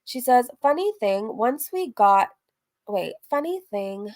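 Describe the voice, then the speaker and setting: A woman's voice: fairly high pitch, sing-song, slow pace, darkish tone. A woman streamer chatting casually through a recap, reading messages aloud, candid and rambling, breaking off into asides and dropping casual swear words. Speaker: streamer and host of a reaction livestream.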